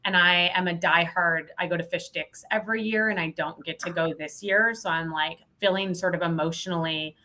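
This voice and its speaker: Podcast guest: American woman, lower-leaning pitch, animated, self-deprecating, loose conversational delivery. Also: a little fast, hardly any pauses, poor audio. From Montana, United States. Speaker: podcast guest, sales professional. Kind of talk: casual interview chat.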